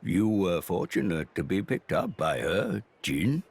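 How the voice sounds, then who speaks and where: An old talking beast from a video game. The voice is low, gravelly and husky, with slow, folksy phrasing that rises and falls freely. It sounds like a gentle, nostalgic elder. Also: male voice, big pitch swings, full character voice. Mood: nostalgic, wry. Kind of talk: video game cutscene acting.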